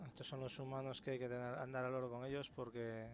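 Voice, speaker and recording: A man's voice: mid-range voice, level tone, fast talker. A man with an accent from Spain giving casual gameplay commentary, thinking aloud and swearing as he goes. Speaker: gamer narrating a let's play. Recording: clear game noise behind voice. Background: video game ambience.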